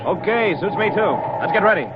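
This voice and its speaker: A practical deep-sea diver talks quickly and plainly, all business. It is 1940s radio drama, muffled and clearly noisy. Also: male voice, animated. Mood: resolute, matter-of-fact. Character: brisk, practical, decent male civilian deep-sea diver.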